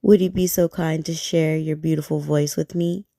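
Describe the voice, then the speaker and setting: A woman voicing a sly male fox in a bedtime storybook, talking in a sweet, flattering, cunning way. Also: sits low, moderate pace, gravelly, no echo, studio quality.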